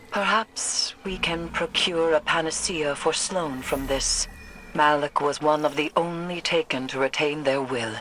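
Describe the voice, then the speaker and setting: A woman's voice: low voice, pushed and bright, a little hiss or hum, full character voice. A woman playing a grave, commanding alien sorceress in a video game, speaking formally and solemnly. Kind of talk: dramatic video game acting.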